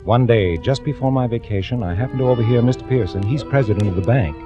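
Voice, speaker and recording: A man's voice: mid-range voice, fast talker, close to an everyday voice. An actor playing a meek, discontented bank clerk who confides his story with wry brooding, in 1940s radio drama style. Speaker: narrator and lead actor. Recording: muffled old radio recording with music.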